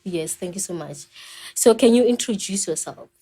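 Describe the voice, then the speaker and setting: Mellow-voiced woman hosting a podcast. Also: mid-range voice, lively intonation, moderate pace, breathy edge. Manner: stumbling restarts when asking. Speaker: podcast host. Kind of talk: conversational interview.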